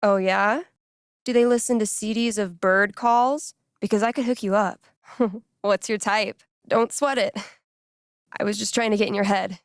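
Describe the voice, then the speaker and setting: A woman's voice: mid-range voice, fairly even intonation, unhurried, smooth, dry recording, studio quality, natural voice. An actress playing a wry, casual young woman in a video game, teasing and loyal. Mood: playful, teasing. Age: young adult. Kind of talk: naturalistic video game dialogue acting.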